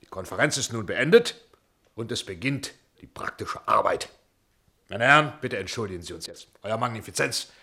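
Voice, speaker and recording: An actor playing a bossy, irritable film producer with a commanding, emphatic manner, in a German radio play. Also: male voice, on the low side, lively intonation, normal speed, pushed and bright, breathy edge, heavily acted. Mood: smooth, deferential. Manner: commanding, emphatic, formal with superiors.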